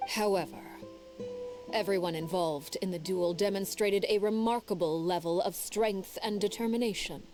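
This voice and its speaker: An actress playing a cold, composed female leader of an orphan house in a video game, speaking in a measured, formal and hushed way. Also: medium pitch, soft background music, natural voice. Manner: measured, calm and formal, often near a whisper.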